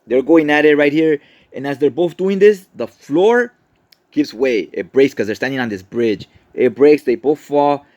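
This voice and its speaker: A man's voice: fairly high pitch, normal speed, a little mellow, dry recording, lo-fi sound. A man recapping a story in a casual, conversational style, as a host chatting to listeners. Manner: informal, conversational, checks in with "right?". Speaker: host, comic book recapper.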